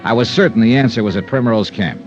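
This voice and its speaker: An actor playing a confident, wry American insurance investigator in a 1950s radio detective drama, smooth and persistent. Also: male voice, lowish voice, fast, faint music behind, natural voice. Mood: crisp, reporting. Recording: dull old radio recording.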